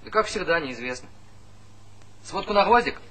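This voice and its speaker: A man's voice: on the high side, expressive, normal speed, sharp tone, low-quality recording. An actor playing an eager, breezy young village man full of schemes in a Soviet radio play.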